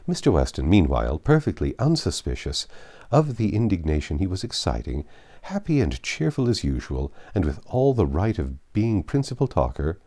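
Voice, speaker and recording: A man narrating a classic novel aloud in an expressive, measured storytelling manner. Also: deep voice. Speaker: audiobook narrator. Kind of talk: expressive scripted literary narration.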